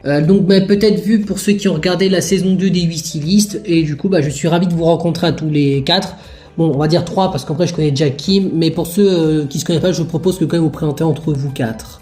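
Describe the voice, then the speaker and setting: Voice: mid-range voice, brisk, warm tone, natural voice. Marie, someone voicing a friendly young woman. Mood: chatty. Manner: hesitant, filler-heavy. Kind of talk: homemade toy-figure adventure show.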